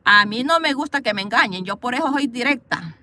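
A woman's voice: fairly high pitch, animated, fairly quick, sharp tone, a little hiss or hum. A woman vlogging from a parked car into her phone, bluntly scolding and gossiping as she reads live comments. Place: parked car, outdoors view. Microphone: phone camera.

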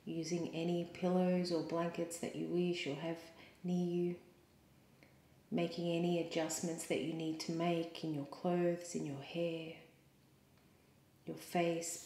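A woman yoga teacher leading a guided meditation in a calm, soothing, measured way with pauses. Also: on the low side. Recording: roomy, echoing sound.

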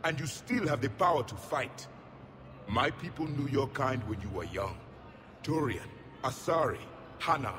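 An actor playing a proud ancient alien soldier in a video game, grave, measured and scornful. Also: male voice, mid-range voice, big-room sound, background noise, lightly acted. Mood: rousing. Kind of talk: video game cutscene dialogue.

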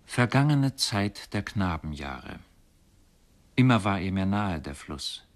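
A man performing a solemn, dreamy poetic voice in a radio play, reciting with deliberate weight. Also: low voice, very animated, full character voice. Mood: dreamy, nostalgic.

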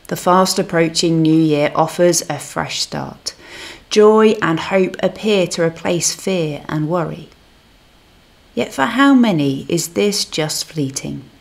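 A woman minister reading a scripted devotional reflection in a measured, solemn manner. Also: sits low, creaky, a little mellow.

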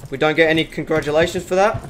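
A man's voice: fairly high pitch, steady tone, racing, a little hiss or hum. A young Australian man giving sweary, jokey, repetitive commentary while playing a horror game. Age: young adult. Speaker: video game streamer. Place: indoor room at home. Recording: loud game audio over the voice. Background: loud game music and machinery sounds.

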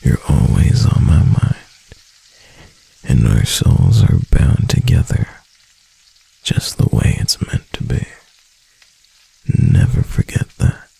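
A young man voicing a doting, clingy husband in a soft, intimate ASMR roleplay. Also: a bass voice, animated, takes it slowly, gravelly, long pauses, breathy edge, full character voice, rough recording. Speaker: voice actor.

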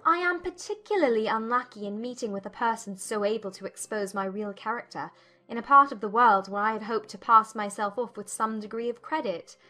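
A woman playing a witty, spirited young gentlewoman, arch and playful, in an audiobook drama. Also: on the high side, fairly quick, faint music behind. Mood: teasing.

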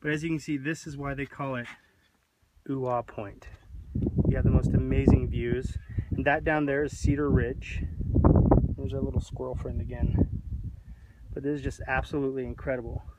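A man's voice: medium pitch, steady tone, normal speed, pauses often, heavy background noise. A man in his thirties talks casually and jokingly as a family vlog host, recording himself on a handheld camera out on a hiking trail. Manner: jokey, informal. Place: outdoors on a canyon hiking trail. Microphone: handheld camera at arm's length.

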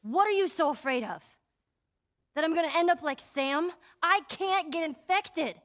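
A woman's voice: very high voice, expressive, moderate pace, fairly bright tone. An actress playing a tough, wounded teenage girl survivor in a video game, American, blunt and emotional. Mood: defiant. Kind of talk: naturalistic video game drama acting.

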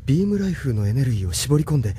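An actor playing an earnest, self-doubting young pilot hero in an anime audio drama. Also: male voice, medium pitch, sing-song, rapid-fire, warm tone, slight background noise, lightly acted. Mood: shaken, relieved. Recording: voice under music.

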